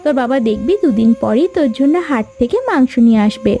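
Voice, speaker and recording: A gentle, worried village mother from a cartoon fairy tale, voiced by a woman. Her caring voice is high yet mellow, with music behind it. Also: expressive, fast talker. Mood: soothing.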